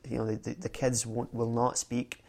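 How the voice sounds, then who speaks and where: Guy answering interview questions, lots of ums and restarts, pretty monotone. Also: medium pitch, normal speed, creaky. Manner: hesitant, many fillers and restarts. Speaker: newspaper staff interviewee. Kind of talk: research interview.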